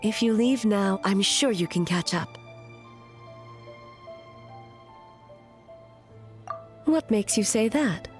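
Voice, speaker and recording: A woman voicing a stoic, aloof immortal shogun in a video game, speaking in a formal, grave and measured way over music. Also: mid-range voice, animated, natural voice. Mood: solemn, resolute. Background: background music.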